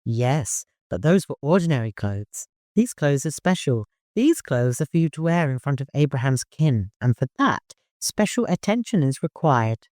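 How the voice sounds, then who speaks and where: A man voicing a bossy, motherly older seamstress in an audiobook, teasing and good-humored, brisk and respectful but firm. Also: medium pitch, very animated, a little mellow, dry recording, clean studio sound, lightly acted.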